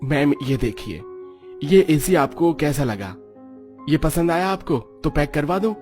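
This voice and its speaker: A man voicing a polite shop salesman pushing his goods in an animated story. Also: fairly high pitch, unhurried, soft background music. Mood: eager, polite. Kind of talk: animated Hindi story acting.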